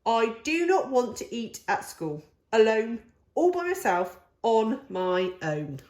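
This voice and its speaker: A woman's voice: fairly high pitch, sing-song, takes it slowly, darkish tone, roomy sound. A woman voicing a fussy, imaginative little girl in a storybook, with emphatic, wordy, childlike phrasing. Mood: defiant.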